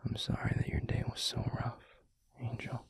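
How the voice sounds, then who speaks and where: A man playing a tender, protective werewolf boyfriend in an intimate ASMR roleplay, speaking in a breathy, gravelly, soothing whisper. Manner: soothing, slow, gently coaxing. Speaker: audio roleplay voice actor.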